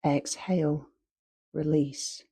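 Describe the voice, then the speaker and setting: A woman leading a guided meditation as a teacher, speaking calmly and in short, measured phrases with long pauses. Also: deep voice, slightly rough.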